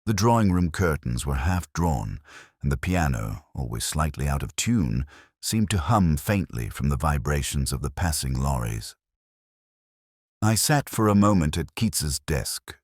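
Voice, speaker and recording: A man with an exceptionally deep voice reads a brooding detective tale as its narrator. His delivery is measured and literary, with lively rises and falls in pitch, and the recording is close and dry. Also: slightly rough, no room sound. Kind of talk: scripted first-person detective story narration.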